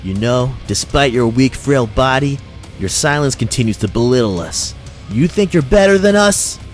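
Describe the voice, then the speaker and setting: Man acting a belligerent young thug, medium-pitched. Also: animated, moderate pace, natural voice. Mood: contemptuous. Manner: belligerent, contemptuous. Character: arrogant, thuggish young male school bully. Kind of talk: visual novel voice acting. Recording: music behind the voice.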